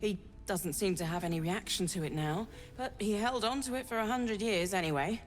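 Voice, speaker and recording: A woman voicing a kindly, earnest healer in a fantasy game, gentle and plain-spoken. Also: medium pitch, brisk, faint music behind, lightly acted. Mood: concerned. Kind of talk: dramatic video game acting.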